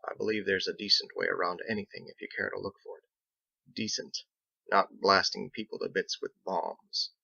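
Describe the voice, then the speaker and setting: Man voicing a low, gravelly, genteel sea captain. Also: flat delivery, pushed and bright, no echo, lo-fi sound, exaggerated voice. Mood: gently wry. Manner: formal, deliberate, wordy. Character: genteel, measured, pipe-smoking male sailor captain, wry and philosophical.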